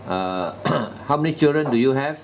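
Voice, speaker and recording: A man's voice: medium pitch, animated, normal speed, noisy background. A man conducting a job interview, probing with questions and repeating the answers back, often trailing off with "ah" and "lah". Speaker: recruitment interviewer.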